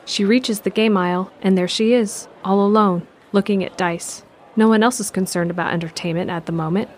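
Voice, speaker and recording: A woman narrating an audio drama in a calm, measured, descriptive way. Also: mid-range voice. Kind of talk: scripted narration.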